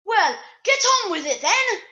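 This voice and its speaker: A woman's voice: extremely high pitch, big pitch swings, measured pace, sharp tone, muffled recording, full character voice. A woman playing an impatient, snobbish cuddly toy in a scripted puppet comedy, speaking forthrightly.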